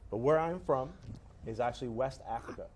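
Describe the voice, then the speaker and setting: A man from Ghana talks at a brisk clip with an eager, heartfelt manner. His voice sits at a middle pitch, and a faint hiss runs behind him. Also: darkish tone. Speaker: guest speaker. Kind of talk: casual talk to young audience.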